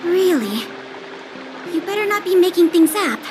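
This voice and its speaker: A young video game character, practical and approachable. Her voice is high and female, set over clearly audible music. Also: big pitch swings, normal speed. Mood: taken aback. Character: friendly, pragmatic young woman who reasons from data. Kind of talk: game cutscene voice acting.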